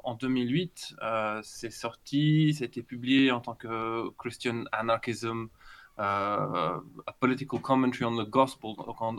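A middle-aged man from Belgium answering interview questions over an internet call as an academic author, repeating words while he thinks. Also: medium pitch. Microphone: remote internet call.

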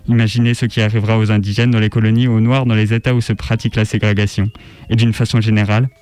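A man's voice: fairly low pitch, flat delivery, quick pace, a little mellow, smooth, soft background music. A man giving a formal, emphatic, rhetorical reading of a literary text.

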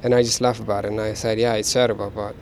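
A man's voice: fairly low pitch, level tone, darkish tone, a little hiss or hum. A man with an Afghan accent and Dari as his first language, speaking casually as a refugee and interpreter, repeating words for emphasis. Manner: repeats words for emphasis, halting non-native English phrasing. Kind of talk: casual spoken testimony and interpreting.

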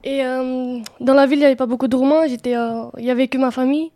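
High, mellow young woman. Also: steady tone, fast talker, dry recording, studio quality. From Romania. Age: young adult. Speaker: youth contributor giving testimony. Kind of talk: casual personal storytelling.

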